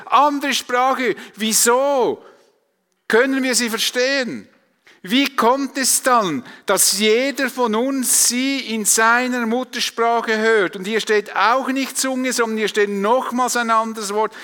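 Male Swiss German preacher: forceful bright tone, rhetorical and emphatic, recorded in a big reverberant hall. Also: very high-pitched. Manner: emphatic, rhetorical repetition and questions. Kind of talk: sermon to a congregation.

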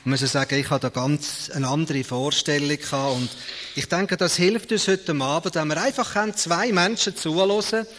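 A man's voice: on the high side, normal speed, fairly bright tone, slight background noise. A man with a Swiss German accent giving an informal spoken welcome as host to an audience, introducing guest speakers. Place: large reverberant hall. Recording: echoey hall with long reverb.

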